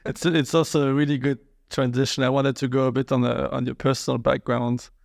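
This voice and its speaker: A man hosting a podcast interview, asking questions in a halting way and rephrasing them as he goes. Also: mid-range voice, little pitch movement, creaky, dry recording, studio quality. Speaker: podcast host.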